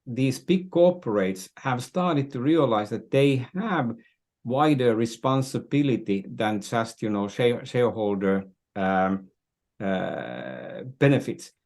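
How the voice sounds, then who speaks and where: An older man from Finland speaking as an interview guest, earnestly explaining his views in deliberate, halting phrases. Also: medium pitch, animated, a little mellow. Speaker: podcast guest, business strategist.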